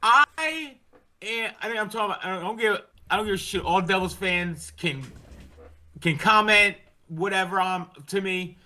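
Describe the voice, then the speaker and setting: A man's voice: high-pitched, normal speed, pushed and bright. A man hosting a casual sports podcast, ranting in an exasperated way and swearing heavily. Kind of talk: casual hockey fan chat.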